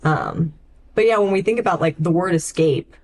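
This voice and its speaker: A woman co-hosting a podcast, casually discussing literature in a thoughtful, halting way with frequent "like". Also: lowish voice, darkish tone. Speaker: podcast co-host.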